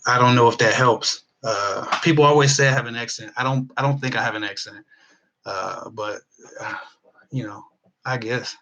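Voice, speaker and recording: An American man speaks off the cuff at a medium pitch, like a vlogger chatting with his viewers. He rambles through his advice and leans on repeated phrases for emphasis. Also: normal speed, sharp tone, slight background noise, poor audio. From Midwestern US (Ohio). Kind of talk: casual unscripted advice talk to live viewers.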